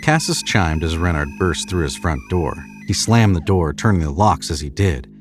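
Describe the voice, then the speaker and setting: A man's voice: low voice, expressive, normal speed, music in the background. A man narrating scripted horror fiction in a dramatic storytelling style.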